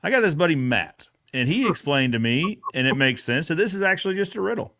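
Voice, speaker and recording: A man's voice: fairly high pitch, expressive, brisk, a bit bright, no echo, clean studio sound. A man hosting a casual recap chat, talking conversationally and addressing the viewers directly. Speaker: YouTube host.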